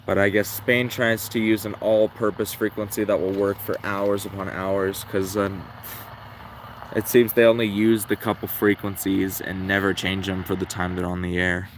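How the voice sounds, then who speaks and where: A man casually thinking out loud as he hosts a hobby livestream, in a low-key, rambling, unhurried way. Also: level tone, slight background noise. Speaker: livestream host, radio hobbyist. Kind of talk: casual livestream commentary, musing aloud.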